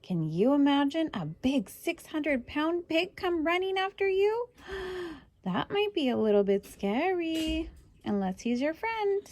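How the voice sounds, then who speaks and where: A woman reads a picture book to children in a highish, very lively voice. She takes her time and speaks expressively, adding little asides to her listeners, with a dry, close sound. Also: a little slow, no echo. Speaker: storybook reader.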